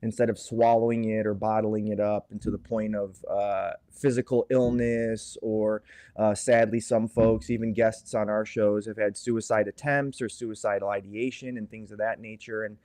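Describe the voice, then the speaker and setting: A man's voice: lowish voice, flat delivery, normal speed, dark tone, dry recording. A man speaking candidly as a guest in an interview, telling personal things. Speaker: podcast guest, co-founder of a support movement.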